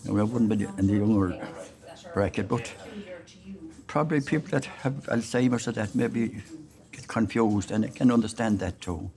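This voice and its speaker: A man's voice: fairly low pitch, moderate pace, creaky, a little mellow. An older man speaking plainly and with good-humoured resignation about keeping up with change. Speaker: class participant.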